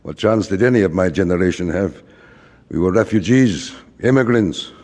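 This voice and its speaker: A man's voice: deep voice, expressive, normal speed, a little mellow. An actor playing a wistful, middle-aged immigrant father who philosophizes and repeats himself in an audio drama. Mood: wistful, lamenting. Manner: rhetorical, repetitive, philosophizing. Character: wistful, rambling immigrant father, a frustrated commercial traveler. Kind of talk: theatrical audio drama acting. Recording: reverberant hall stage recording.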